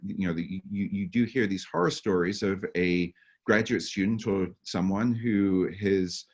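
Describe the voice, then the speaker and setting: A man with a hoarse, fading voice and a cough, answering workshop questions over a video call with many stammered restarts and fillers. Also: sits low, darkish tone, highs cut off. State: hoarse, losing his voice with a cough. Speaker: workshop presenter.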